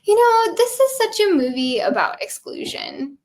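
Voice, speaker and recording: A woman's voice: sing-song, moderate pace. A woman co-hosting a casual chat, thinking aloud about a film with many "you know"s and "um"s. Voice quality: high-pitched voice. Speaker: podcast co-host.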